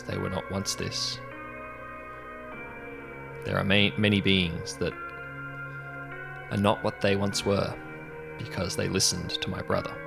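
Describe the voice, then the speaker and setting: A man playing a solemn ancient godlike being who speaks in a grave, measured, portentous manner. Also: sits low, vocal fry, exaggerated voice.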